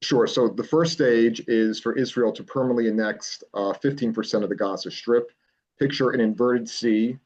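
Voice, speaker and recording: A man as a political consultant guest, explaining a plan in measured speech with frequent pauses. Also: medium pitch, darkish tone, muffled recording. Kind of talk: remote interview, explaining a plan.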